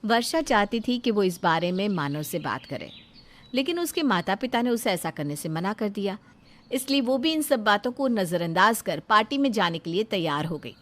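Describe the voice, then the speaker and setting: A woman's voice: medium pitch, big pitch swings, moderate pace, fairly bright tone. A woman narrating a scripted moral family story as a storyteller.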